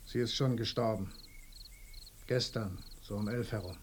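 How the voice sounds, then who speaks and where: A man's voice: lowish voice, measured pace, natural voice. An actor in a radio play, playing a poor, desperate village man in grief who wants drink, speaking plaintively. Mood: weary grief. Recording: noisy radio drama, crickets behind.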